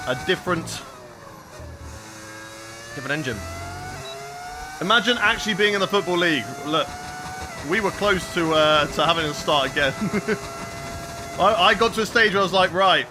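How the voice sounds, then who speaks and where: Lively chatty man streaming a game. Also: on the high side, background noise. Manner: chatty, reads and answers viewer chat while racing. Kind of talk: casual live-stream chat while playing.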